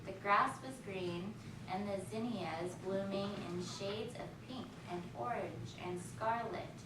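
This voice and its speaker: A woman reading a story aloud to young children as their teacher, pausing to ask questions and chat with them. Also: medium pitch. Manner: pauses to question listeners and echo their answers. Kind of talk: read-aloud storytelling with chatty asides to children. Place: echoey classroom, recorded from a distance. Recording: distant, echoey, noisy room recording.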